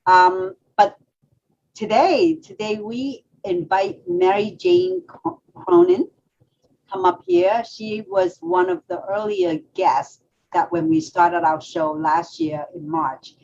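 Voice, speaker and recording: A woman co-hosting a casual talk show, lively, laughing and restarting her phrases, heard over a video call. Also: fairly low pitch, slow. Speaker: co-host. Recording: slightly dull lo-fi audio with faint background noise.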